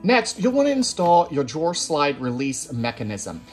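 A man's voice: on the high side, huge pitch swings, normal speed. A man in his thirties hosts a hands-on tutorial in a casual, step-by-step way. His voice rings with the echo of an indoor workshop. Faint guitar music plays behind him. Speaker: woodworking tutorial host. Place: indoor woodworking shop. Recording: roomy echoing workshop sound.

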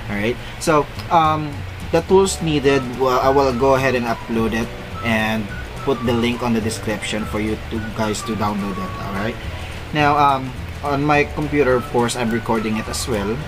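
A man from the Philippines, a non-native English speaker, casually walking viewers through a phone tutorial on his computer, with frequent fillers and self-corrections. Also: mid-range voice. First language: Filipino. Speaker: tech tutorial host. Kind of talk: screen-recorded tech walkthrough.

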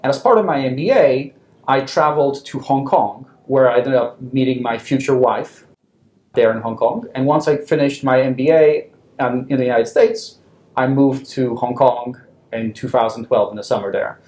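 Thirties man from Poland, fund founder, measured and businesslike, lapel mic in an echoey office. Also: mid-range voice, a little mellow. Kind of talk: interview answers. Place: office with wooden chairs, indoors. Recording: roomy office echo.

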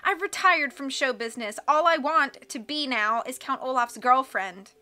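A woman's voice: high-pitched, moderate pace, sharp tone. A woman voicing a vain, demanding villainess with a haughty, petulant manner in a storybook.

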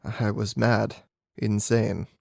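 Man playing gravelly, anxious young king. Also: on the low side, fairly even intonation, slow, darkish tone, no echo, clean studio sound, voice pushed down, heavily acted. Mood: regretful. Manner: solemn, emphatic, regretful. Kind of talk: amateur audiobook character reading.

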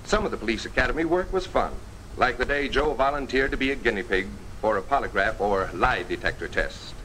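Man, mid-pitched, formal 1950s narrator, earnest storytelling, measured delivery, noisy vintage film recording. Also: lively intonation, sharp tone.

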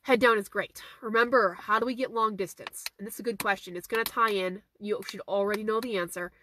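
A woman in her thirties, a horse trainer with a hoarse, fading voice, answering viewer questions in a casual live stream from her car, phone held at arm's length, repeating her key points earnestly. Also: mid-range voice, steady tone, quick pace, sharp tone, slight background noise. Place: inside a parked car. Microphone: phone camera at arm's length.

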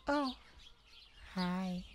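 A woman playing a tenderhearted mage devoted to a werewolf partner in a romantic ASMR roleplay, soft, affectionate and creaky, with pauses. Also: on the low side, lively intonation, slight background noise. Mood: affectionate, resolute. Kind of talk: romantic ASMR roleplay, one-sided dialogue.

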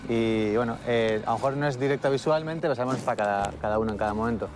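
A man's voice: mid-range voice, fast. A man in his twenties with an accent from Spain, an army officer explaining things in an interview. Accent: Spanish from Spain. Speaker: army lieutenant, tank commander. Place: outdoors under an open tank hangar. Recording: faint outdoor background noise.

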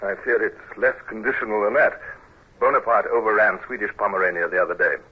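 Brooding Royal Navy officer, clipped formal delivery, lowish pitch, dull vintage radio sound. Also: male voice, fairly even intonation, a little fast, husky. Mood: formal, urgent. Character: serious, brooding British Royal Navy commodore. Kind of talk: 1950s radio drama acting and first-person narration. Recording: noisy, dull old radio recording.

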